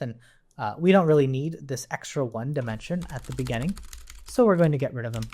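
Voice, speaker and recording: Lively-pitched man teaching a coding tutorial. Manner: explanatory, thinking aloud, checks in with "right?". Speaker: programming tutorial instructor. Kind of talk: informal screencast coding walkthrough. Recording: faint background noise, keyboard typing clicks. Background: computer keyboard typing and clicks.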